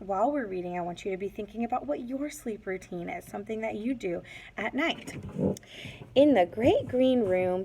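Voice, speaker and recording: A woman's voice: medium pitch, mellow, a little hiss or hum. A woman teacher doing a read-aloud lesson for young children, speaking in simple, instructive phrases. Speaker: teacher reading a picture book aloud to young pupils.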